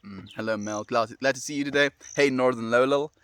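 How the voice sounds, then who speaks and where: Fast-talking South African young man. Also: mid-range voice, a bit bright, clean tone, no echo, clean studio sound. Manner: fast-talking, friendly, reads and answers comments. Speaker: livestreamer. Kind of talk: casual chat with viewers.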